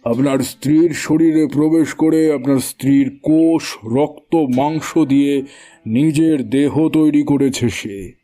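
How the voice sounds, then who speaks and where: Male actor as middle-aged village occultist, humble yet ominous, dark mellow tone, unhurried, music underneath, horror audio drama. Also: a little high. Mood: grave, ominous.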